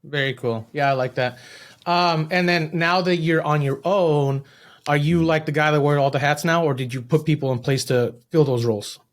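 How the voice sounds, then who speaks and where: A man's voice: on the high side, moderate pace. An American man hosting a casual interview, informal and affirming, with slangy phrasing. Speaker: podcast host, interviewer.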